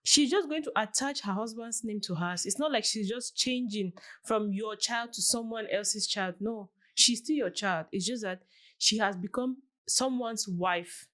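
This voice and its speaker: A woman in her late twenties from Cameroon, answering interview questions in an earnest, conversational way. Her voice sits at an ordinary middle pitch. She explains her views by acting out small quoted examples. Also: measured pace, a bit bright. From southwest Cameroon. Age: late 20s. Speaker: guest.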